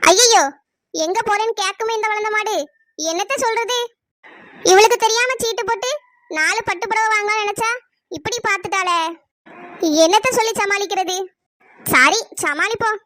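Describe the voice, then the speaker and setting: A woman's voice: very high-pitched, normal speed, pauses often, fairly bright tone, no room sound, clean studio sound. A woman voicing an evasive, easily irritated village woman in a cartoon comedy, grumbling. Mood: grumbling, flustered. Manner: grumbling asides, indignant retorts.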